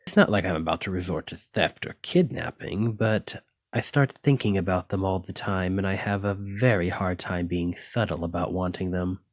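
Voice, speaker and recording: Man playing a low-voiced, flirty dragon-blooded librarian. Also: normal speed, no echo. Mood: earnest, confiding. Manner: intimate, teasing, uses pet names. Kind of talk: ASMR romantic roleplay.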